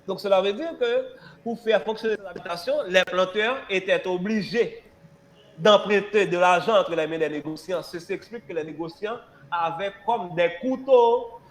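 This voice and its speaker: A man leads a history class, hoarse from a cold. He dictates notes slowly, repeating phrases and spelling words out letter by letter. He pushes key words upward with emphatic stress and rhetorical questions. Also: sits high. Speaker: history teacher.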